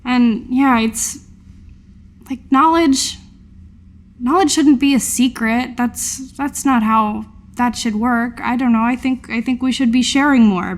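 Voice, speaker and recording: A woman's voice: fairly high pitch, natural voice. A woman playing an earnest, humble tower keeper in a tabletop game, searching for words as she talks.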